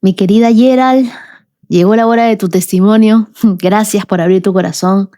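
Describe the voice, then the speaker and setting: A woman's voice: mid-range voice, fairly even intonation, normal speed, warm tone. A woman speaks as a devotional podcast host, tenderly and with emphasis, in short repeated phrases.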